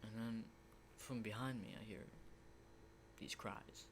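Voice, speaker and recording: A man playing an unsettling, lonely, hardened apocalypse survivor in an ASMR roleplay, speaking in a halting, breathy whisper and soft voice. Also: on the low side, steady tone, background noise. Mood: haunted, storytelling. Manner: hushed, halting, repeats words, mild swearing.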